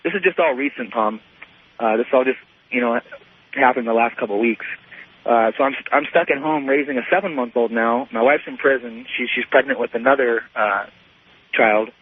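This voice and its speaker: A man in his thirties calling a radio show, telling a personal story in a halting, repetitive way full of "you know". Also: mid-range voice, slightly rough. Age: 30s. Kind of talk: unscripted personal account on a call-in show. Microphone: telephone. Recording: muffled lo-fi phone call to radio.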